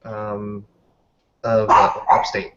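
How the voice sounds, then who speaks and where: A man casually improvising narration as a player in a tabletop roleplay, descriptive and halting, on a dull, slightly noisy mic. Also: on the low side, completely flat delivery. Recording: dull lo-fi mic with background noise.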